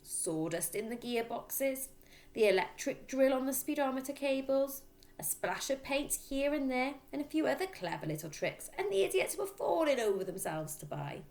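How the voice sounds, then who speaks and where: A woman voicing a boastful, crooked father who sells used cars, with a loud, smug, emphatic delivery, in a children's storybook reading. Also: high-pitched, sing-song, moderate pace, pitched above the performer's own voice. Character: boastful, crooked middle-aged father, a used-car dealer.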